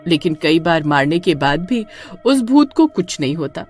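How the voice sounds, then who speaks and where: Lively woman narrating a horror story. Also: sits low, normal speed, soft background music. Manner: expressive storytelling.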